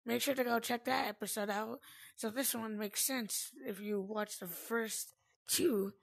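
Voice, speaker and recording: A woman's voice: a little high, fairly even intonation, unhurried, gravelly. A woman giving casual commentary while she plays a game, and she is losing her voice. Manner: chatty, reacts out loud while playing. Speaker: gaming video host.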